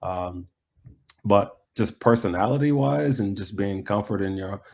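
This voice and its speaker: An American man talking reflectively in a conversational interview, often pausing mid-thought to restate himself. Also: lowish voice, gravelly, darkish tone. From United States. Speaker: soccer coach being interviewed.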